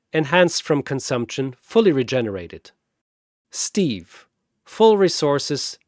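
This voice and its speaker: A man's voice: fairly high pitch, dry recording, clean studio sound. A man narrating a scripted battle story and announcing its fighters in a dramatic style.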